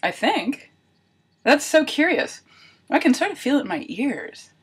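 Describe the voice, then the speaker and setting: A woman's voice: high voice, big pitch swings, measured pace. A woman video blogger talking casually and thinking aloud, with wry, self-deprecating humour. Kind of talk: casual chatty vlog narration of a homemade experiment.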